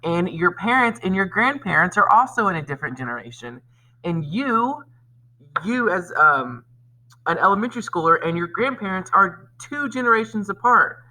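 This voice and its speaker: A male elementary teacher presents a lesson and reads aloud to children. His pitch moves up and down freely and his tone is bright and forward. He speaks expressively and addresses the pupils directly. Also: expressive, moderate pace, low-quality recording.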